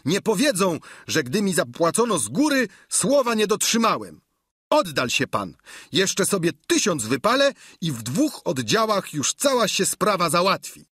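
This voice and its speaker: A male reader puts on a wily peasant squire from a storybook, pitched well up and swooping about. He grumbles, whines and haggles in loud exclamations. The voice is a little husky. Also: big pitch swings, normal speed, no room sound, studio quality, heavily acted. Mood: insistent, whining.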